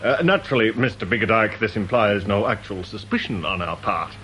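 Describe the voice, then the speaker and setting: An actor playing a stiffly formal British police inspector in a radio drama, measured and officious, with understated sarcasm. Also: male voice, lowish voice, pushed and bright, slight background noise.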